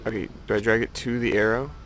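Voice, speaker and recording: A man's voice: on the low side, fairly even intonation, quick pace, a bit bright, slight background noise. A man giving casual, rambling gameplay commentary, thinking aloud with playful self-mockery. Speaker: gamer narrating his own playthrough.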